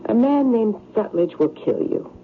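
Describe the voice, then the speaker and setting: An actor playing a grave, cryptic doctor with a formal, portentous manner in an old-time radio drama. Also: male voice, high-pitched, sing-song, unhurried. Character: grave, cryptic male doctor interpreting a patient's dream. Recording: tinny old radio recording, faint noise.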